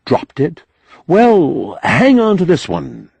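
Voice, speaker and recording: A man's voice: sits high, wildly swooping pitch, slow, vocal fry, a little mellow, slightly rough, no room sound, pitched above the performer's own voice, heavily acted. A man voicing a stage magician with mildly puzzled, offhand showman's patter in an audiobook. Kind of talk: audiobook character acting.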